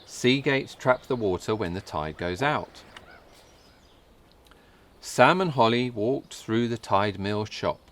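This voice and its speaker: A man reads a story for young children in a lowish voice. He goes very slowly and carefully, with plenty of rise and fall in his pitch. There is light background ambience with some birdsong. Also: lively intonation, a bit bright. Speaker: storybook reader. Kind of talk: scripted children's story narration.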